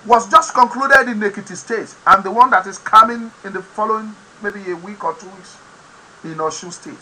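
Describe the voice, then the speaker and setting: A man with a Nigerian accent whose first language is Yoruba hosts an online program, giving impassioned political commentary with sudden loud, emphatic bursts. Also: extremely high pitch, poor audio. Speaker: host of an online talk program.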